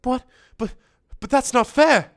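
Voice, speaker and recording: A man voicing a nervous, easily overwhelmed male castle that has come to life, protesting in breathy exclamations for a comic story. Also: very high voice, measured pace, voice pushed up. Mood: flustered, apprehensive.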